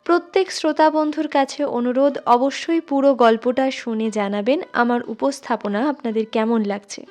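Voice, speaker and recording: A woman narrating a literary short story expressively, as a storyteller addressing listeners. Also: sits high. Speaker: storyteller, channel host.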